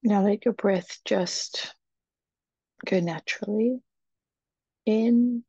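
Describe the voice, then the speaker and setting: A woman leading a guided meditation in a soft, breathy, soothing voice, with short phrases and long pauses. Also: medium pitch, dry recording. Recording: dull, muffled, dry recording.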